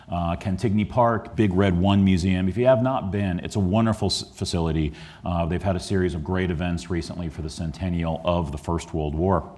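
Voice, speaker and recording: A man with a very deep voice lectures on his history book in a museum hall. He talks earnestly and often stalls on ums and restarts, and he comes from the Chicago area. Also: warm tone. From Chicago area, USA. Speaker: historian giving a book talk.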